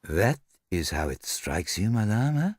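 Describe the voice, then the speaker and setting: A man voicing a courteous, self-assured detective in an audiobook, formal and deliberate, with a French accent. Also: lowish voice, big pitch swings, slightly rough, dry recording, studio quality, full character voice. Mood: polite, dry.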